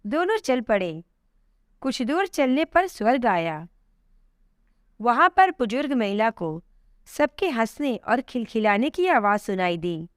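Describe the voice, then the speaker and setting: A woman narrating a scripted moral story in a measured, expressive storytelling manner. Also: fairly high pitch, no echo, studio quality.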